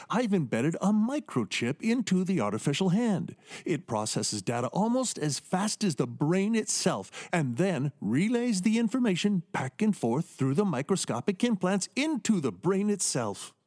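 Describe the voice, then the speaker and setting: A man's voice: high-pitched, very animated, moderate pace, darkish tone. A man playing a charming, brilliant neurosurgeon in a radio drama, speaking expansively and persuasively. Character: brilliant, charming middle-aged male neurosurgeon.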